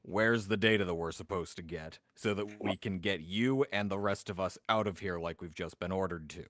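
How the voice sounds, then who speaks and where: Man as a suspicious marine, low and pointed. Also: normal speed, a bit bright, no echo, studio quality. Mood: quiet menace. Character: suspicious, menacing marine demanding answers.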